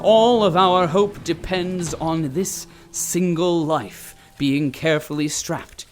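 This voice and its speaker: Propaganda narrator for dystopian radio drama: grave, grandiose, very unhurried, music bed underneath. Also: male voice, fairly high pitch. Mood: grandiose, solemn. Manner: solemn, grandiose, deliberate.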